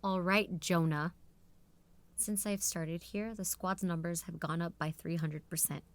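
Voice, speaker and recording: Firm, lowish-voiced female lieutenant. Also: steady tone, unhurried. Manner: firm, assertive, businesslike. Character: defiant, no-nonsense female military strategist and lieutenant. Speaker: voice actor in audio drama. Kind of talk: scripted drama dialogue.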